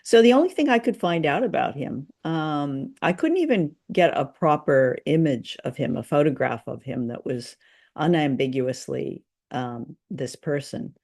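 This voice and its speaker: A podcast co-host in casual, unscripted discussion, with hesitant phrasing, gently steering the conversation. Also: medium pitch, very animated, measured pace, vocal fry.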